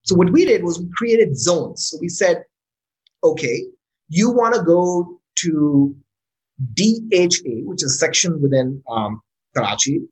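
Animated startup founder. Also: medium pitch, unhurried, low-quality recording. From Pakistan. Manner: frequent "right?" tag questions, restarts words when excited. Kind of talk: casual interview answer.

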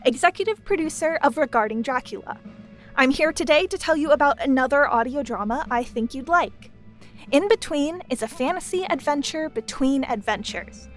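High-voiced woman reading a podcast promo. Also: a bit bright. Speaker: audio drama producer giving a promo. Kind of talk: scripted podcast promo read.

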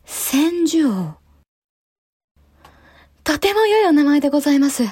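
A woman's voice: high voice, very animated, measured pace, darkish tone, no echo, studio quality. A female actor playing a devoted, resolute young samurai wife and mother, speaking politely and formally in a historical audio drama. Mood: proud, tender. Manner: polite, formal period speech.

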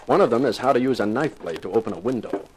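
A man's voice: mid-range voice, animated, fast, a little hiss or hum, close to an everyday voice. A man playing a tough, wisecracking private eye in a 1950s radio detective drama, with a wry way of talking. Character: wisecracking, hard-boiled male private eye with a grudge. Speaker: lead actor and narrator. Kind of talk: 1950s radio detective drama, first-person narration and dialogue.

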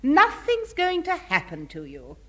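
An actress playing a practical, unflustered secretary in a 1950s radio comedy. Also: female voice, high-pitched, wildly swooping pitch, a little slow, a bit bright, heavily acted. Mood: reassuring. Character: practical, unflustered secretary looking after her boss. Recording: noisy old radio recording.

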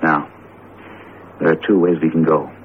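An actor in a radio drama playing an earnest, determined teacher with deliberate, theatrical phrasing. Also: male voice, a bass voice, flat delivery. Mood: grimly determined. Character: jumpy, determined male teacher confronting a cursed statue. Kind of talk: vintage radio drama acting. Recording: tinny, narrowband old radio broadcast, noisy.

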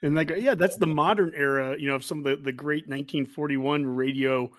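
A man's voice: on the high side, fairly even intonation, a little fast, dry recording, clean studio sound. An American man hosting a podcast in a casual sports interview chat. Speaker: podcast host.

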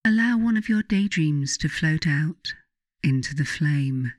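A narrator guiding a meditation from a script in a soothing, deliberate, instructing manner. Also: mid-range voice, expressive, no room sound, clean studio sound. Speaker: author narrating a guided meditation.

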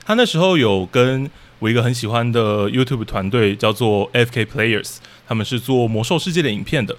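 A man's voice: medium pitch, lively intonation, moderate pace. A man in his twenties with a Taiwanese Mandarin accent, talking casually and a little hesitantly as a podcast guest. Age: 20s. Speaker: podcast guest, audio drama troupe leader. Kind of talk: casual interview chat.

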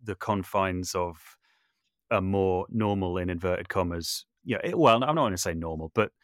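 A man's voice: low-pitched, moderate pace, no echo, studio quality. A man talking casually as an interview guest, thinking aloud and often rephrasing as he goes. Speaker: podcast guest, composer, sound designer and voice artist.

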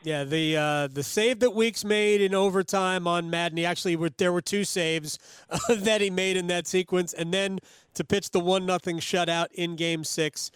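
A man hosts a conversational sports show in a high-pitched, smooth voice. He is eager and opinionated, rambling a little as he recalls things. The sound is close and dry, with no room echo. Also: steady tone, moderate pace.

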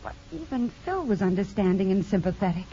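Casting: woman playing candid, sympathetic friend, lively mid-range voice, old radio play, dull hissy recording. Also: mid-range voice, fairly quick, natural voice. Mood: firm, regretful. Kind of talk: 1950s radio drama acting.

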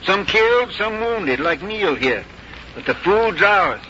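A brash, folksy soldier with a high, very sharp voice. He is proud and excitable in 1950s radio acting, on a muffled broadcast. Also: takes it slowly, full character voice. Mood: proud, excited. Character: brash, proud, rough-and-ready Virginian soldier. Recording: muffled old radio broadcast, faint noise.

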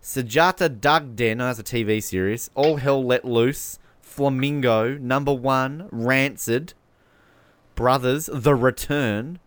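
A man's voice: medium pitch, takes it slowly. A man co-hosting a podcast, chatting casually about films in a rambling, jokey, opinionated way. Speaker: podcast co-host. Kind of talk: casual film commentary chat.

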